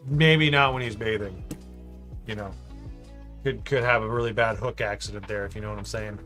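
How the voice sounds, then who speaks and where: A man's voice: on the low side, fairly even intonation, normal speed, pushed and bright, soft background music. A man hosting a livestream, chatting casually and thinking aloud as he builds, reading out chat messages and joking with viewers. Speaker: livestream host building a model.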